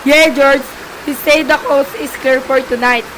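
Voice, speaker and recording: A woman's voice: high voice, a little slow, rough recording. A woman playing a criminal accomplice in an amateur radio play. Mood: conspiratorial. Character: criminal accomplice arranging a crime by phone. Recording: faint steady background hiss.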